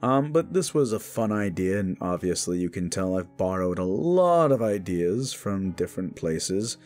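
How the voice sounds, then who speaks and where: A man's voice: low voice, very animated, moderate pace, darkish tone, faint music behind. A man who is a little sick, giving a casual, rambling outro to listeners as an audio creator. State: a little sick, voice not fully recovered.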